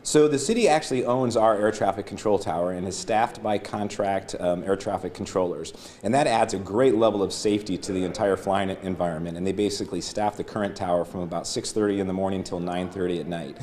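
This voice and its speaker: Low-voiced, quick-talking man being interviewed. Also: a little mellow, a little hiss or hum. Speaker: airport official being interviewed. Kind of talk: explanatory interview answers.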